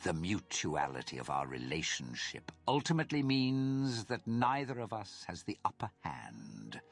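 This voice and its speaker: A man's voice: extremely low pitch, creaky, a bit bright, exaggerated voice. A man voicing a sinister, courtly spirit that lives inside cursed armour in a fantasy game, speaking in a theatrical, formal and suave manner. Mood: smooth, persuasive. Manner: theatrical, formal, suave, drawn-out menacing phrasing. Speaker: video game character in a fantasy mod.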